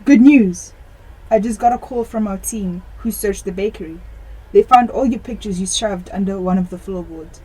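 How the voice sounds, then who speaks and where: A woman's voice: mid-range voice, normal speed, darkish tone, husky, lightly acted, rough recording. A teenage woman playing a stern, businesslike police detective in an amateur radio play. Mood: accusing.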